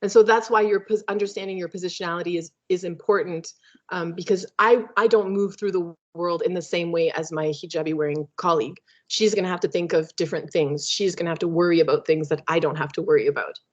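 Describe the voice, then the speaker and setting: A woman's voice: fairly low pitch, steady tone, fast talker. Thoughtful woman presenter on a video call. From Canada. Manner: thoughtful, hesitant, many fillers. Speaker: webinar presenter. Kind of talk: academic webinar talk. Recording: muffled lo-fi video call audio.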